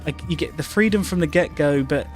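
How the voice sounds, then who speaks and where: A man's voice: fairly high pitch, brisk. A man co-hosting a live game stream in casual, rambling, sweary chat. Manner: rambling, hesitant phrasing, sweary. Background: game music.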